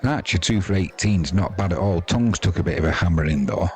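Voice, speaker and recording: Deep-voiced older British man commentating cricket. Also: brisk, darkish tone. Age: 50s or older. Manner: chatty, rambling, colloquial. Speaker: sports commentator. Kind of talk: casual ball-by-ball cricket commentary. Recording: crowd noise behind the voice.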